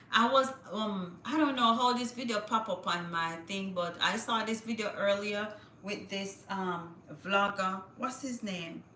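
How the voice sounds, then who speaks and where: A woman's voice: mid-range voice, a bit bright, roomy sound, slight background noise. A woman hosting a casual livestream, chatting to viewers and reading news aloud with small stumbles. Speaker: livestream host.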